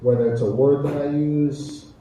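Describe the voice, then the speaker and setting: A man with an American accent speaking reflectively on a panel as a chef, earnest and deliberate, repeating phrases for emphasis. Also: a little high, steady tone, dark tone. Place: echoey indoor community event space. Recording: echoey hall, distant mic, faint noise.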